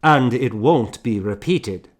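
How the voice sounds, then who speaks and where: A man's voice: medium pitch, lively intonation, slow. A man voicing a curt, disapproving, bossy woman in an audiobook novel. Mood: stern. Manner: curt, scolding.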